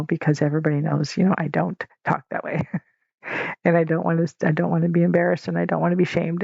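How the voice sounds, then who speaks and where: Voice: mid-range voice, gravelly, darkish tone, dry recording. A podcast host from the northern United States talking through a casual, candid solo episode, rambling with fillers and restarts and chuckling often. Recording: dull lo-fi home podcast recording.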